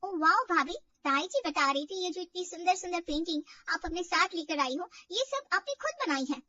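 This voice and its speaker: A woman voicing an excitable, gushing, eager young sister-in-law in a family drama story. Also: extremely high pitch, normal speed, no room sound, distant mic, dull audio, exaggerated voice. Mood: eager, admiring. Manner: gushing, exclamatory.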